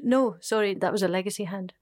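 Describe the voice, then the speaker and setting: A woman's voice: medium pitch, fast talker, darkish tone, natural voice. A woman playing a matter-of-fact online meeting participant in a radio play.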